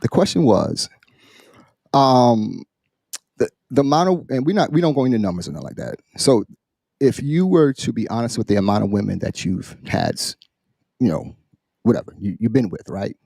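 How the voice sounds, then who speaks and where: Animated man on a podcast, very dry sound. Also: mid-range voice, creaky, slightly rough, no echo. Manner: halting, repeats and restarts phrases while building up questions. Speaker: podcast host posing a question. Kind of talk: casual podcast discussion.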